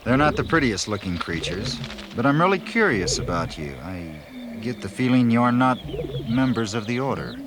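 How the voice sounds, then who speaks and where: An actor playing a determined, urgent space adventurer in a 1970s audio drama, speaking earnestly. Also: male voice, medium pitch, big pitch swings, moderate pace, lightly acted. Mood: curious. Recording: noisy outdoor sound effects behind.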